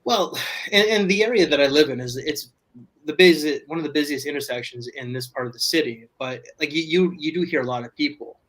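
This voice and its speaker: A man talking loudly from his apartment as a guest on a casual video call, rambling with many restarts. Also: fairly high pitch, brisk, poor audio. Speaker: podcast guest. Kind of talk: casual livestream chat. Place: home apartment.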